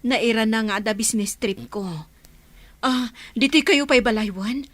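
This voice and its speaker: An actress playing a concerned, brisk and kind businesswoman in an Ilocano radio drama. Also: on the high side, fairly bright tone, no room sound. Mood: concerned, inquisitive. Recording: music under the voice.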